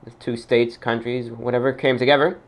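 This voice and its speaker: A man's voice: mid-range voice, level tone, fairly quick. A man hosting an informal radio-style show, speaking like an announcer with emphatic delivery. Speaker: show host.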